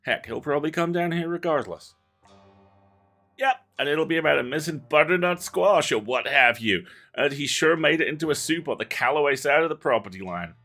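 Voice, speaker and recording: A man's voice: fairly high pitch, animated, fairly quick, pushed and bright, faint music behind, pitched above the performer's own voice. A man voicing a dismissive middle-aged small-town sheriff in a game, folksy, blunt and weary. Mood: dismissive, exasperated. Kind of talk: reading game dialogue aloud.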